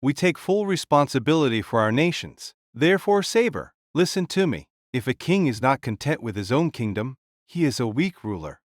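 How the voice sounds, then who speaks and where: Man playing a bold, animated conqueror king. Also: medium pitch, no echo, studio quality, close to an everyday voice. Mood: commanding. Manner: firm, weighty, deliberate. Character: bold, blunt conqueror king spirit, male. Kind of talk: audio drama acting.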